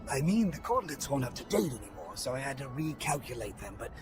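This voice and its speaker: Wounded, guilt-ridden prisoner played by a man, mid-range and very changeable in pitch, breathy, halting, game drama over clear music. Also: very animated, close to an everyday voice. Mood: pained, ashamed. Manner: halting, pained delivery with repeated words. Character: battered, guilt-ridden male captive confessing after torture. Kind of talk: video game cutscene acting.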